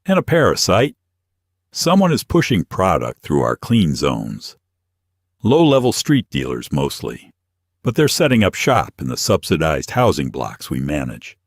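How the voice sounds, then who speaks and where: Deep gruff mobster, voiced by a male reader, blunt, grim, lively intonation, audiobook crime drama. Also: a little slow, no echo, clean studio sound, heavily acted. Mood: grim disgust. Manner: blunt, grunting.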